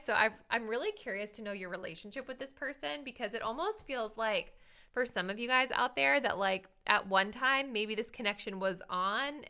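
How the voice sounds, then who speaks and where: A young woman talks through a tarot reading in a loose, chatty way, dropping a lot of "like"s as she thinks aloud. Her tone is bright and forward, with a slight rasp from talking a lot. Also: a little high. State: slightly raspy voice. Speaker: tarot reader. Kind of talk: casual tarot card reading to viewers.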